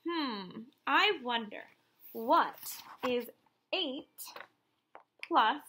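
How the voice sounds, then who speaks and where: Female primary teacher for a children's read-aloud: bright, high voice, encouraging and sing-song. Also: expressive, extremely slow, a little hiss or hum.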